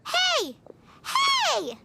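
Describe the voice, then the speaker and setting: A woman playing a tiny, cheeky, childlike fairy girl companion in a video game, squeaky, excitable and blunt. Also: drawn-out words, vocal fry, background noise, heavily acted. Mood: indignant, teasing. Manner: blunt, excitable, speaks of herself in third person. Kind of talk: animated video game voice acting.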